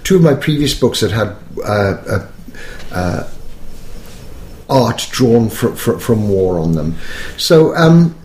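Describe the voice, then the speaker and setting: A middle-aged or older man with an educated Welsh accent, a poet reflecting in an interview in a thoughtful, halting, self-correcting way. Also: on the low side, big pitch swings, darkish tone, a little hiss or hum. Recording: echoey room.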